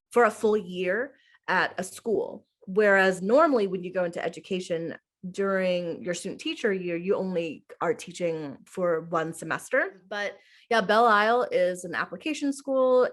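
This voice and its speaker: A young adult woman from the United States, a middle school teacher answering interview questions in a casual, chatty way full of "like" and "um". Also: mid-range voice. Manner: chatty, heavy use of "like" and "um".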